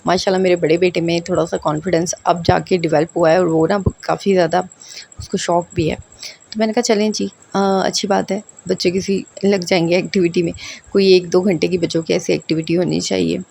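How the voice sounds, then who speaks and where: Gravelly low woman with a sore throat. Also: level tone, fairly quick, darkish tone, a little hiss or hum. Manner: chatty, rambling, addresses viewers directly. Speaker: vlogger giving family advice. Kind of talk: casual advice vlog.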